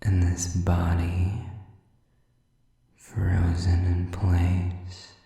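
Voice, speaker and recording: A man playing a sadistic, predatory demon in an intimate audio roleplay, taunting with mock politeness and breathy, drawn-out menace. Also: sits low, monotone, warm tone, very echoey, far from the mic, heavily acted. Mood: contemptuous, predatory. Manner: slow, drawn-out, mockingly polite, breathy whispers.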